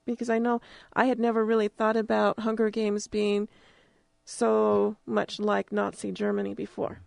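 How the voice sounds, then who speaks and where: A woman's voice: medium pitch, completely flat delivery, moderate pace, creaky, a little mellow, no room sound. A woman hosting a casual interview, agreeable and reflective as she asks questions.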